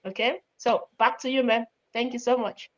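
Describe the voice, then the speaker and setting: A woman's voice: on the high side, steady tone, moderate pace. A woman from Nigeria introducing herself politely and graciously on a live online call. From Benin City, Nigeria. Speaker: telephone banking agent, panelist. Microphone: video call. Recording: dull lo-fi call audio.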